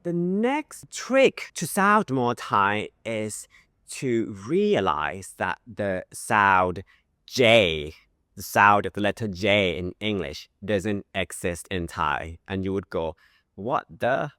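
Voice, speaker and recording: A man gives a pronunciation lesson at an unhurried pace, drawing out and repeating sample sounds. His voice is bright and clear, with a lively, wide-ranging pitch. The recording is close and dry. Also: no echo. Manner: modeling pronunciation with drawn-out sounds. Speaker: language teacher. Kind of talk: language lesson.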